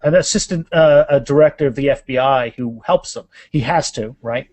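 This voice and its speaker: A man speaking as an author guest in a casual interview, halting and restarting phrases, with self-deprecating humor. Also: mid-range voice, darkish tone, no echo.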